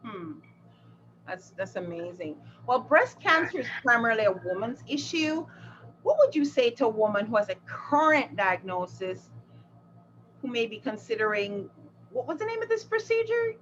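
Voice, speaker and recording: A woman hosting an interview over a video call puts measured questions to her guest. Her voice sits high and moves a lot in pitch. The call audio is a little muffled, with faint hiss behind it. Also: big pitch swings, a bit bright. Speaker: interviewer host. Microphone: video call webcam.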